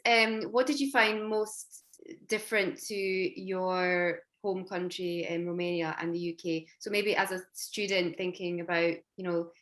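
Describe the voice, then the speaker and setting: A woman hosting an interview on a video call, chatty and full of fillers. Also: lowish voice. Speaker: host, careers adviser.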